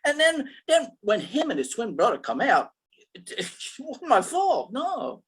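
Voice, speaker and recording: A woman acts out a dim gang henchman from a comic radio crime play. The voice is highish and very animated, rambling through slangy, stammered excuses in a defensive way. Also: measured pace, husky, full character voice. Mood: whining, defensive. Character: dim, defensive, beer-drinking gangster henchman. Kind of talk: old-time radio comic crime acting.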